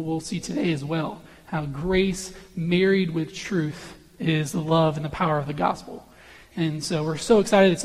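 A young man with an unusually high voice, a visiting college minister opening his sermon in a friendly, self-deprecating, chatty way. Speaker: guest preacher, college minister. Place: church sanctuary. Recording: long church reverb.

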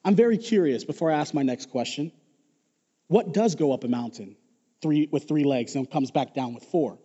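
A man's voice: on the high side, normal speed, warm tone, breathy edge, long reverb tail, lo-fi sound. A man voicing a chatty male genius passenger inside a joke, told plainly in his own voice. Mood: curious.

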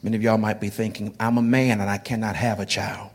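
A man's voice: fairly low pitch, little pitch movement, fast. A man in his forties, a pastor, preaching a sermon in a conversational way and often repeating words as he builds his phrases. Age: 40s.

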